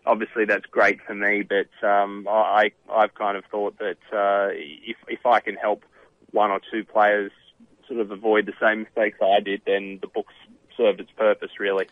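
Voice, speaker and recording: A man's voice: fairly low pitch, monotone, no echo. Australian man on a tinny phone line. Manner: halting, many uh fillers and restarts. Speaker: professional golfer and author, guest. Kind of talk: radio interview answers.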